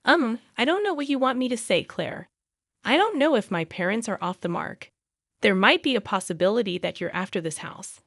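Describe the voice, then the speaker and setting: A woman's voice: a little high, expressive, fairly quick, fairly bright tone, no room sound, clean studio sound. A woman voicing a weak-willed, doubting husband in a narrated story, with a hesitant, evasive manner. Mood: doubtful. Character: weak-willed, doubting husband, older than his wife.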